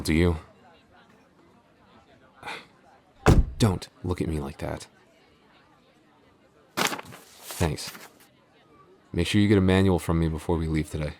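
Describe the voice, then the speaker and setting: A man playing a shy, easily flustered tsundere boyfriend and office coworker in an intimate audio roleplay, with a halting, stammering delivery. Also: sits low, gravelly, darkish tone. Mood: flustered, scolding.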